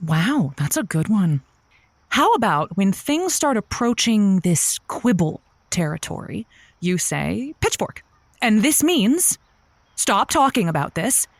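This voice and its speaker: Animated, breezy woman radio host. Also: medium pitch, slow pace, dry recording, full character voice. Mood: helpful, brisk. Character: breezy, supportive young female radio advice host. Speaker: radio advice show host. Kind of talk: audio drama acting as a radio host.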